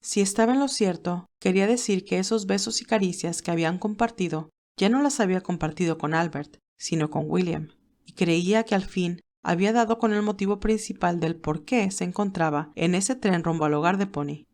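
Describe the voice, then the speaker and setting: A woman's voice: fairly low pitch, fairly quick, darkish tone. A woman reading scripted story narration as an audiobook narrator.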